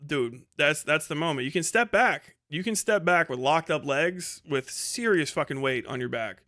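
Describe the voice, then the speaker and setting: A man chatting casually on a livestream in an animated, enthusiastic, rambling way, swearing freely. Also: a little high, moderate pace. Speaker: live streamer.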